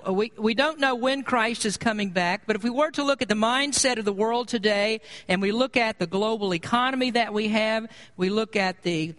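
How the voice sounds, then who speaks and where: An American man, a pastor, preaching an expository sermon in an earnest, measured, explanatory way. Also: high-pitched, fairly bright tone.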